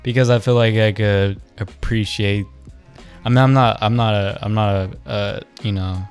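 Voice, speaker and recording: Young man, lowish voice, hesitant rambling chat, faint music. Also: steady tone, normal speed, clear, resonant tone. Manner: rambling, hesitant, many restarts, joking. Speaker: podcast co-host. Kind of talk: casual chat.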